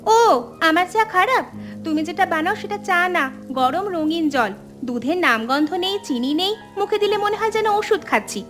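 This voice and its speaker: Cartoon younger sister, played by a woman: high voice, feisty village girl grown up, outspoken, quick to protest, music behind. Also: animated, fairly bright tone. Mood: teasing, bickering. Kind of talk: animated family drama dialogue.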